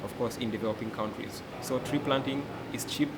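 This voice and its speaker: A young man from Uganda with a Ugandan accent, speaking earnestly as a forest educator and delegate, often pausing with "uh". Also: medium pitch. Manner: earnest, many "uh" pauses. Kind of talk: interview testimony. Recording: loud background hum.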